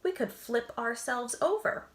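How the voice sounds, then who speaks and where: A woman's voice: on the high side, animated, unhurried, fairly bright tone. A woman voicing a proper, chatty old male tortoise in a children's storybook. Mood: friendly. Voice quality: prim, old-fashioned phrasing. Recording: roomy echo.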